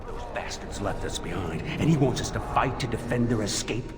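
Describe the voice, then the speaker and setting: A man's voice: mid-range voice, sing-song, normal speed, lightly acted. A man playing a bitter, defiant male dwarf outcast in a video game. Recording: echoing hall, noisy ambience.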